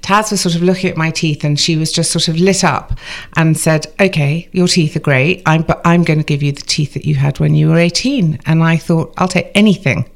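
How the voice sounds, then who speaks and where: A woman's voice: deep voice, brisk, vocal fry, few pauses. A middle-aged woman co-hosting a chat in a clinic, chatty and self-deprecating, rambling a little as she talks. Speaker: co-host, journalist. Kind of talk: casual chat. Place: clinic room.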